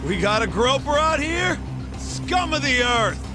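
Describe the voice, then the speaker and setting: A man playing a loud, pig-headed, hot-tempered tough guy in an English-dubbed game drama, bellowing theatrically. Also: very high voice, very animated, a little slow, over music, heavily acted. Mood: outraged, blustering.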